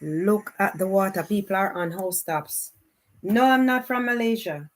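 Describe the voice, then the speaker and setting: A woman with a Jamaican accent giving casual, unscripted live commentary as a streamer, often repeating her exclamations. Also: medium pitch. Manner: unhurried, repetitive exclamations.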